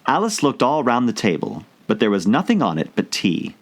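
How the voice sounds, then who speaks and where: Animated male storybook narrator. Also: mid-range voice, normal speed, gravelly. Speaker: audiobook narrator. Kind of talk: expressive scripted storybook narration.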